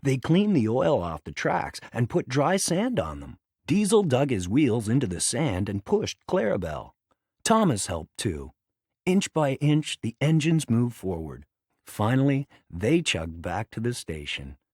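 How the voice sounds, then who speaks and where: A man reading a children's read-along story as a scripted, dramatic and expressive narration. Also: medium pitch, unhurried, breathy edge, dry recording, studio quality.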